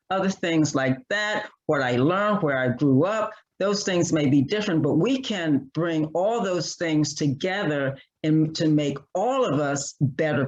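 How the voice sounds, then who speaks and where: A man speaking as a panelist in an online discussion, earnest and repetitive, halting with many restarts. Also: on the high side, highs cut off.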